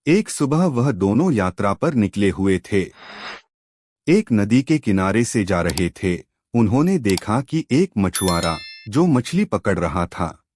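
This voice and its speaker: A man telling a moral story in an unhurried, expressive way. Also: lowish voice, a little hiss or hum.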